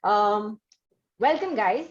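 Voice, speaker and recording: A woman with an Indian accent hosting a conversational interview, phrasing her questions in a measured, halting way. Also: mid-range voice, lively intonation. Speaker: interview host. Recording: slightly dull lo-fi sound.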